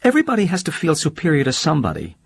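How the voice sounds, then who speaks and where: Male reader putting on a flighty young woman, high and lilting, husky, dry close sound, teasing and brash. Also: wildly swooping pitch, very fast, dry recording, heavily acted. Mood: cold. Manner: breezy, teasing, sharp-tongued. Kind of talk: audiobook character voice.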